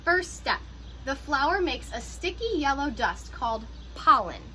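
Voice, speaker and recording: A woman who teaches pre-K, reading a picture book aloud to young children in an animated, encouraging way with teacher asides. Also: sits high, unhurried, a little hiss or hum.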